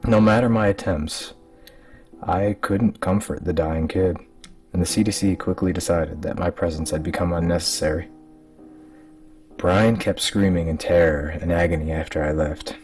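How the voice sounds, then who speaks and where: A man's voice: sits low, steady tone, moderate pace, darkish tone. A man reading a scripted horror story as a dramatic narrator. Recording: distant mic with music underneath. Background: music.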